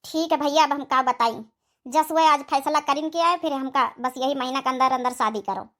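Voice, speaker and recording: A woman's voice: high-pitched, moderate pace, fairly bright tone. A woman with an Awadhi accent voicing an ageing, impatient village mother who nags plainly in a cartoon story. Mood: resigned. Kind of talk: amateur cartoon story dialogue.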